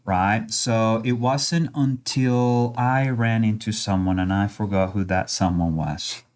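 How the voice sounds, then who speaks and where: Low-voiced earnest male guest, accented English. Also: fairly even intonation, slow, a little mellow. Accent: non-native accent in English. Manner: earnest, encouraging, often checks in with "right?". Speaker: college language instructor guest. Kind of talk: conversational interview.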